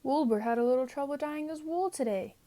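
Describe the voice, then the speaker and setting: A woman's voice: high-pitched, fairly quick, dark tone, pitched above the performer's own voice, lightly acted. A woman voicing a fretful, baffled mother sheep in a storybook, fussing as she talks. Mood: worried, baffled. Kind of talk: storybook character voice.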